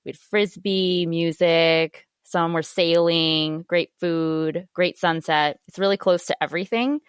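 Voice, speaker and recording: A woman's voice: on the low side, steady tone, unhurried, clear, resonant tone, no echo, studio quality. A young woman from Boston, a medical fellow, talking in a friendly way as a podcast guest. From Boston, United States. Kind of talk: friendly interview talk.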